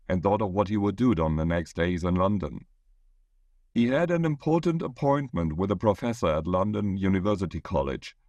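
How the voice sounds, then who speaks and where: A man's voice: fairly low pitch, darkish tone, no echo. A man reading his own short story aloud as a scripted narration.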